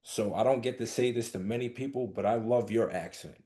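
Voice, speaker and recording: A man's voice: medium pitch, a little fast, warm tone. A man from Brooklyn with a Brooklyn accent, a podcast co-host who sounds enthusiastic and affirming. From Brooklyn, New York. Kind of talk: warm interview chat.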